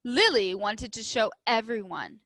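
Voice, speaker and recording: Bright-voiced, unhurried woman teacher reading aloud. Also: a little high, animated, dry recording. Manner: emphatic, instructive, addressing children. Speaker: elementary school teacher. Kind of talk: expressive storybook read-aloud with questions to pupils.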